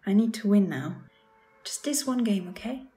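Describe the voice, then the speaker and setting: A woman's voice: mid-range voice, lively intonation, moderate pace, mellow, close to an everyday voice. A woman playing a playful, game-obsessed young girlfriend in an ASMR roleplay, talking straight to the listener. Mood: playful, pleading. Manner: playful, pleading, talks to the listener as "babe".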